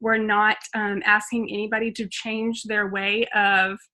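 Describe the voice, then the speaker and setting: A woman hosting a video call, making an enthusiastic, persuasive pitch with plenty of fillers. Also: little pitch movement, measured pace, pushed and bright. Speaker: host.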